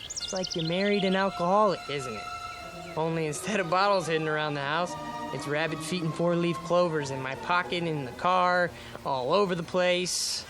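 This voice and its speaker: A man's voice: on the high side, normal speed, close to an everyday voice. A man playing an anxious, superstitious young husband in a radio drama, hesitant, evasive and easily put on the defensive. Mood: uneasy, sheepish. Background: music.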